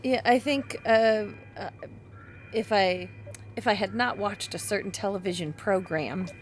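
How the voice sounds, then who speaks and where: A woman's voice: medium pitch, measured pace, a little hiss or hum. A woman playing a tabletop game, playful and casual, counting down before her rolls. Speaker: player.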